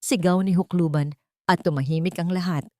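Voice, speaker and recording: A woman narrating a scripted story in a deliberate, suspenseful, dramatic way. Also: on the low side, fairly even intonation, a little mellow, no room sound, studio quality.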